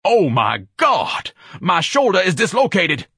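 A man's voice: a little high, sing-song, normal speed, sharp tone, husky, no echo, studio quality, pitched above the performer's own voice, heavily acted. A man voicing a large, pompous, paranoid man who declaims in ornate, grandiose phrases in an audiobook. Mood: panicked.

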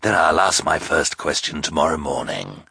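A man's voice: very deep voice, very animated, moderate pace, creaky, bright, forceful tone, husky, no room sound, exaggerated voice. A man voicing a scheming, boastful male villain with a sardonic, commanding manner in an audiobook. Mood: impatient.

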